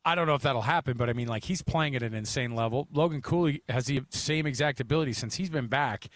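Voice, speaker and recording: A man hosts sports talk at a quick clip, with a mid-range voice. He rambles casually and leans on his points with emphasis. The recording is dry and close. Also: medium pitch, fairly bright tone, no echo. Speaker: sports talk show host.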